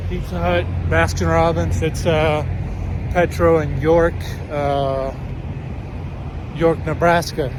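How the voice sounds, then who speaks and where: A man in his forties, a truck driver, talking casually to his phone in a rambling, matter-of-fact way. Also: fairly high pitch. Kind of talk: casual vlog talk. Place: truck cab and outdoor truck stop at night. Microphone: phone at arm's length. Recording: noisy vehicle and outdoor ambience.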